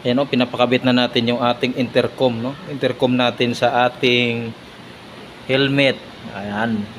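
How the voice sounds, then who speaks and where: A man's voice: mid-range voice, steady tone, normal speed, a bit bright, a little hiss or hum. A man with a Filipino accent chatting casually to his followers as a vlogger, often repeating himself and tacking on little tag questions. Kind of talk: casual vlog chat to followers.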